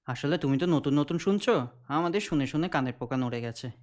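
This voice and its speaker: A man's voice: on the high side, lively intonation, a little fast, darkish tone, natural voice. Sneering, jealous young man played by an actor, reverberant. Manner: scornful, mouth-twisted grumbling. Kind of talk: audio drama acting.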